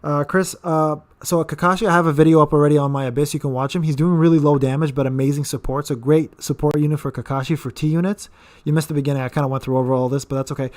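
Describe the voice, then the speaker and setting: A man in his late thirties answering viewer questions on a casual live stream. His voice sits a little high for a man, and he rambles, stumbling over repeated words and filling gaps with "um" and "uh.". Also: fairly even intonation, fast talker. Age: 30s. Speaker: gaming channel host.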